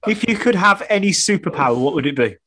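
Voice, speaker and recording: A man's voice: high-pitched, lively intonation, quick pace, fairly bright tone, a little hiss or hum. A man hosting a show, casually putting questions to a guest. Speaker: show host, interviewer. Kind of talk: casual interviewing.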